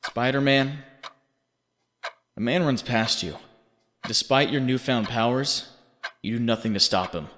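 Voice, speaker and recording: A man's voice: mid-range voice, fairly bright tone, close to an everyday voice. Man playing a reverberant, brooding inner voice. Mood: nostalgic, solemn. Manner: deliberate, dramatic, brooding. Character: haunting, accusing inner voice narrating a hero's guilt. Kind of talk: scripted second-person dramatic narration. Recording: long reverb, faint background noise.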